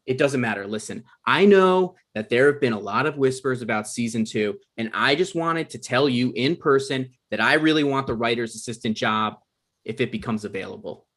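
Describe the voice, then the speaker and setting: A man's voice: mid-range voice, animated, normal speed, fairly bright tone. A man reading the part of an earnest, pushy young hopeful TV writer in a comedy table read. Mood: earnest, nervy. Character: eager, pushy male aspiring TV writer.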